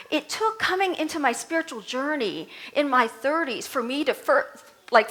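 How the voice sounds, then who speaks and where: A middle-aged woman from Vietnam, a native Vietnamese speaker, giving a conversational sermon with animated storytelling and self-deprecating humor. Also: a little high. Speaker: reverend giving a talk. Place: temple service.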